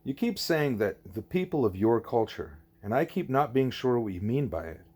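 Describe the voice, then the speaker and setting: A man's voice: mid-range voice, very animated, moderate pace, a little mellow, husky. A man voicing a curious, frank young girl pupil in an audiobook, plain-spoken and questioning. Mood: puzzled.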